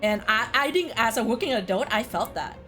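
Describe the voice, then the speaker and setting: A woman's voice: a little high, lively intonation, brisk, fairly bright tone, faint music behind. A woman chatting casually as a co-host, talking in a deliberative way as if thinking aloud. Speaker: co-host ranking video game quests. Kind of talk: casual chat.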